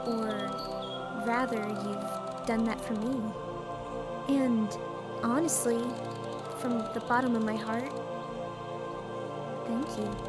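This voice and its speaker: A woman's voice: fairly high pitch, close to an everyday voice. A woman voicing an articulate, philosophical schoolgirl with an anxious streak in a dating sim fan dub, speaking in a brooding, formal way. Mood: relieved, grateful.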